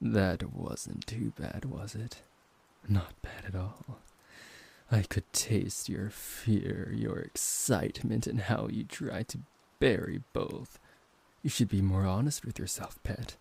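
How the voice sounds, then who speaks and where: A man playing a possessive, seductive vampire professor in an intimate ASMR roleplay, teasing and dominant, with a gravelly, husky voice. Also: lowish voice, exaggerated voice. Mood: satisfied, teasing. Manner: slow, hushed, coaxing, condescendingly affectionate.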